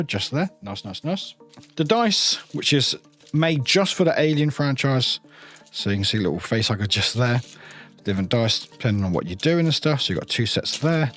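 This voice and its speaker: A man's voice: mid-range voice, fairly bright tone. A man presenting an unboxing in a casual, chatty way, often trailing into short informal asides. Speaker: reviewer hosting an unboxing channel.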